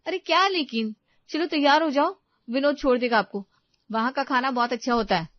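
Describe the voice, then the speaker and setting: A woman voicing a sharp, domineering wife and mother in a family storybook tale, bossy and scolding. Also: mid-range voice, lively intonation, a little fast, dry recording, muffled recording, lightly acted. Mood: pushy, brisk. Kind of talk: dramatized storybook narration.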